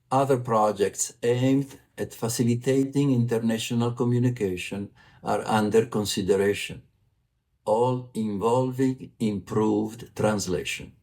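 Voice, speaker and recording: Man, Italian accent, formal prerecorded report, slow unhurried pace. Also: medium pitch, a little mellow. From Italy. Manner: formal, measured delivery. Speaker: vice president for communications.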